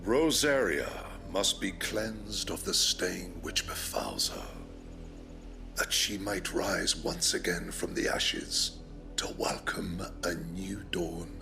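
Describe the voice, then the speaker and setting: Menacing imperial game villain, low gravelly voice, slow, pompous, mocking, swooping delivery. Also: male voice, expressive, music in the background, exaggerated voice. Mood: solemn zeal. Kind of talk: theatrical video game villain acting.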